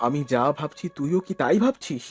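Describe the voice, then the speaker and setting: Higher-pitched young man, horror cartoon actor, music behind. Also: animated, a little fast, a little mellow, breathy edge. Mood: curious. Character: young man, wary, curious horror-story hero and narrator. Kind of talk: dramatic first-person horror cartoon narration and dialogue.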